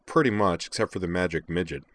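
A man voicing an unflappable, put-upon man in a fantasy audiobook, laconic and deadpan. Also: low-pitched, fast talker, no echo. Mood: wry.

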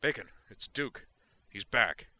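A man voicing a brash, frantic male doctor in a read-aloud story, shouting and cursing. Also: medium pitch, expressive, takes it slowly, voice pushed up, full character voice. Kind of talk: audiobook character voice.

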